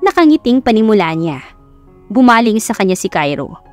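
A woman's voice: mid-range voice, big pitch swings, moderate pace, soft background music. A woman with a Filipino accent reading a scripted romance story as an audiobook narrator.

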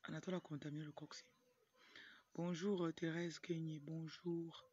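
A woman's voice: sits low, level tone, moderate pace, darkish tone, husky. A young woman with a Cameroonian French accent gives an informal motivational talk to camera, often breaking off into asides. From Cameroon, grew up in Tonga. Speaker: motivational speaker, livestream host. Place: outdoors in a yard. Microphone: phone at arm's length. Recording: muffled, noisy outdoor phone recording. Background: rooster crowing, background noise.

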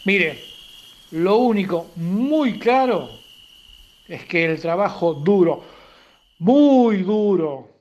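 A man's voice: high voice, expressive, very slow, long pauses, a little mellow, lo-fi sound, heavily acted. An actor playing a nervous, distrustful, self-important wealthy man in a radio drama, hesitant and defensive. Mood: guarded, proud.

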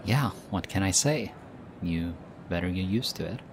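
A man playing a low, hushed, teasing boyfriend. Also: moderate pace. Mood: tender, teasing. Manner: soft, hushed, teasing with light laughs. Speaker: voice actor. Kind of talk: audio roleplay. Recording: steady ambient background noise. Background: busy airport ambience.

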